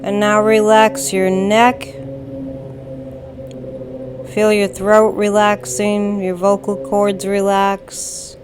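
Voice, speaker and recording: A woman with an American accent leads a guided meditation, giving calm, soothing instructions in a deliberate way with long pauses. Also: mid-range voice, over music. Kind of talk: slow guided meditation narration.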